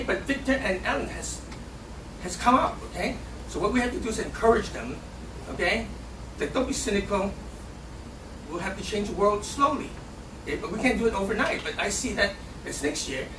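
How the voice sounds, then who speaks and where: Guy campaigning in a debate, high voice, keeps saying okay?, echoey room, mic far off, noisy. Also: lively intonation, fairly quick. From Hong Kong. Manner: punctuates nearly every phrase with a questioning "okay?". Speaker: student election candidate.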